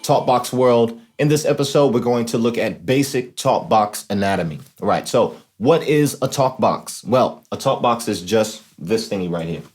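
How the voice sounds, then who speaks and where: A man's voice: mid-range voice, animated, moderate pace, hardly any pauses, a little mellow. A man hosting an instructional demonstration, explaining things informally and often checking in with the listener. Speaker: host of a talk box tutorial series.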